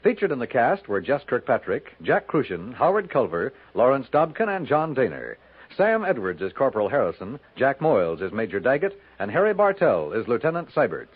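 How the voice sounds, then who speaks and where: Vintage radio announcer: American man, formal scripted delivery, animated inflection, narrow tinny sound. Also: mid-range voice, breathy edge. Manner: formal, measured. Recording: tinny 1950s radio recording with music.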